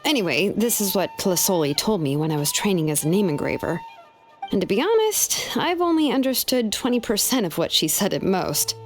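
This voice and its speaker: A woman's voice: mid-range voice, sing-song, fairly quick, faint music behind, lightly acted. A woman playing a laid-back, thoughtful young female artisan in a video game, explaining things matter-of-factly. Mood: candid, weary. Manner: explains at length, matter-of-fact. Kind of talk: video game character dialogue.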